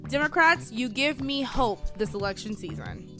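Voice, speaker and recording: A woman's voice: high voice, lively intonation, moderate pace. A woman in her twenties with an American accent, hosting a radio show with scripted, sassy, opinionated political commentary. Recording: music bed behind voice.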